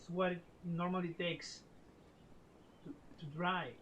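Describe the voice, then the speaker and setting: Halting, deadpan woman pitching a game. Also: slight background noise. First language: not English. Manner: halting, deadpan delivery with restarts. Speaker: game developer in a promo video. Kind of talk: scripted product pitch.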